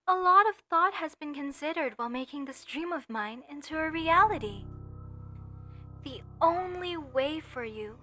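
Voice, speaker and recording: Horror audio drama: actress as sweetly menacing female mortician, obsessively in love, high and bright, tender theatrical delivery. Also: full character voice. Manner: slow, tender, deliberate, theatrical. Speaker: voice actor in an audio roleplay. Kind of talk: horror roleplay monologue addressed to listener.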